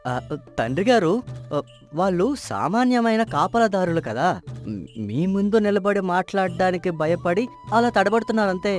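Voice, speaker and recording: A man's voice: sits high, lively intonation, moderate pace, faint music behind. A man playing deceitful palace men, a prince and a guard, in a folk tale drama, insistent but uneasy. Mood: nervous, defensive. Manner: insistent, faltering when challenged. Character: scheming young prince and lying, nervous palace guard.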